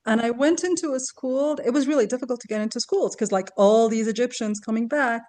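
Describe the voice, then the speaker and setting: A woman's voice: on the high side, a little fast, warm tone. A woman who grew up in Kuwait, a guest educator in a casual podcast conversation, talkative and laughing often as she tells stories.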